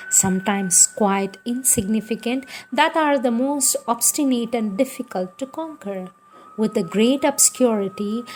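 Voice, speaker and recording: Clear background music plays under a woman's mid-pitched voice as she reads spiritual writings aloud. Her delivery is deliberate and reverent, a scripted recitation of devotional teachings. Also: rough recording. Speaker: reader of spiritual texts.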